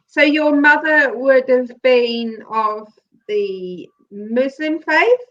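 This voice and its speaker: A woman's voice: high-pitched, very slow, lo-fi sound. A woman hosting an interview show, chatty and encouraging, often laughing. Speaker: podcast host. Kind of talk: casual interview.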